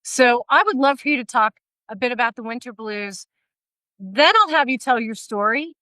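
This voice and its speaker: Bright high-energy woman host on a video call. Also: moderate pace, no room sound, rough recording. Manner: energetic, laughing often, animated hands. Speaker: podcast host. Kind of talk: casual interview chat. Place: bright room at home, indoors. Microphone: video call, laptop mic.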